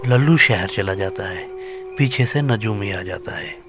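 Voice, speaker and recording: A man's voice: fairly low pitch, animated, a little slow, loud music behind the voice. A man reading scripted horror fiction as a storyteller.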